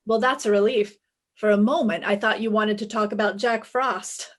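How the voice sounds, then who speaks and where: A woman's voice: medium pitch, steady tone, moderate pace, a bit bright, natural voice. An actress playing a witty, flirtatious, self-assured female private eye in a 1950s-style radio detective play, with breezy, wisecracking delivery. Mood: brisk, playful. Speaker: lead actress and first-person narrator.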